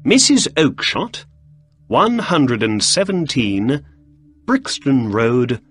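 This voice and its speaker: A courteous, probing detective with a raised, lively voice and a soft husky edge, as a male narrator puts him on. He questions people politely and steadily, over faint music. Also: medium pitch, slow, voice pushed up, full character voice.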